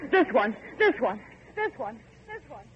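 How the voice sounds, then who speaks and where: An actress playing a distraught, disbelieving young heiress in a vintage radio horror drama, with halting, theatrical delivery. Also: female voice, on the high side, sing-song. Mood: desperate, insistent. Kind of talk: 1930s-40s radio horror drama acting. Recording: muffled, noisy old radio recording.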